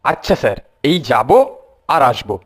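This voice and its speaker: A man playing an earnest, easily indignant young schoolboy in a cartoon, excitable and complaining. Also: medium pitch, normal speed, husky. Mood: obedient.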